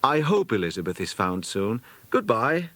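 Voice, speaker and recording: A man's voice: mid-range voice, big pitch swings, moderate pace, sharp tone, no room sound. A man voicing an eager, polite, decisive British schoolboy detective with old-fashioned manners in a children's audiobook. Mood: resolute.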